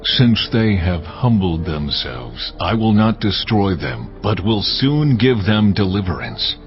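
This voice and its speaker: A solemn, merciful yet stern God speaks in a low register. The delivery is measured and authoritative, as in a biblical audio drama. Faint music sits under a slightly dull recording. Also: male voice, very animated, full character voice. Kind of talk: dramatised audio Bible acting.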